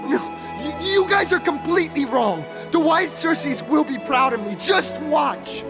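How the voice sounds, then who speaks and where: An actor playing a fervent, grandiose explorer in a video game, declaiming theatrically. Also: male voice, extremely high pitch, expressive, unhurried, over music, full character voice. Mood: fervent, defiant. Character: fervent, grandiose male explorer bent on proving himself.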